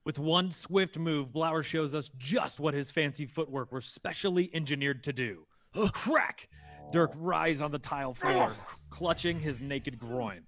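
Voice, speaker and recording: A man's voice: a little high, unhurried, hardly any pauses, fairly bright tone. A man narrating a scripted action comedy, emphatic and dramatic with a tongue-in-cheek edge. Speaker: narrator reading stage directions.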